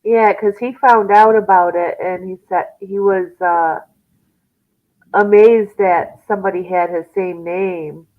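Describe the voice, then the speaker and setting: A woman with a mid-range voice chats casually, agreeable and quick to answer yes. She sounds thin and tinny, as if on a phone line. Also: medium pitch, steady tone. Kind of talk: casual chat.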